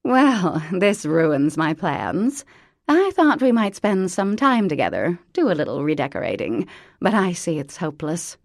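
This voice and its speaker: A woman's voice: on the low side, big pitch swings, unhurried, dry recording, studio quality, exaggerated voice. A woman voicing a vain, bossy middle-aged society mother in an audiobook, theatrical and dramatic. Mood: haughty. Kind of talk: audiobook character voice.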